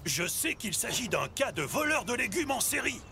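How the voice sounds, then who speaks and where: A theatrical grown-up truck for a french kids cartoon, pitch swooping everywhere, cheering folks on, loud music. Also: sing-song, normal speed, gravelly. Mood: encouraging. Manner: theatrical, exclamatory. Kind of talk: French children's cartoon voice acting.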